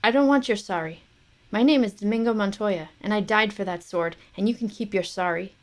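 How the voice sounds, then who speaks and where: A woman's voice: medium pitch, a little fast, close to an everyday voice. A woman voicing the bitter, reproachful voice of a dead father.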